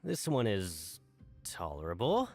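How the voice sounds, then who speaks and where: Bossy, wildly animated gruff foreman. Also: sits low, slow pace, dry recording, soft background music, heavily acted. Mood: grudging, dismissive. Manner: stern, barking, condescending. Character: gruff, bossy, bullying prison factory foreman. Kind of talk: animated video game voice acting.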